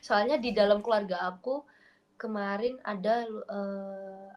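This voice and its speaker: A woman's voice: medium pitch, steady tone, a little slow, darkish tone. A young woman with a mixed Makassar accent, chatting and telling family stories on a video call, laughing easily. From Makassar, Indonesia. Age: young adult. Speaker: video chat stranger. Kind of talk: casual chat.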